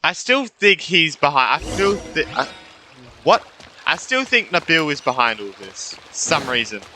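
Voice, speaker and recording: A man's voice: fairly high pitch, very animated, moderate pace, pushed and bright, a little hiss or hum. A man giving casual commentary on a game playthrough, rambling in muttered asides. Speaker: gaming video creator.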